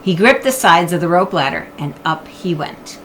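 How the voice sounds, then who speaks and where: A woman narrating a children's book in an expressive storytelling style. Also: lowish voice, moderate pace, background noise. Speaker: audiobook reader.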